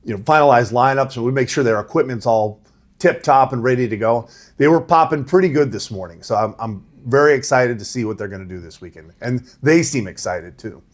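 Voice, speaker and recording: Big-voiced middle-aged rowing coach. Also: male voice, medium pitch, brisk. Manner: conversational, laughs easily, says right? and you know. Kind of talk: casual interview answers.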